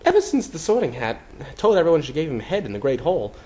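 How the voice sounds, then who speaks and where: A slow-witted teenage wizard boy, acted by a man, raised somewhat in pitch. He sounds bewildered and jealous, with animated inflection, in a story reading. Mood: puzzled, concerned.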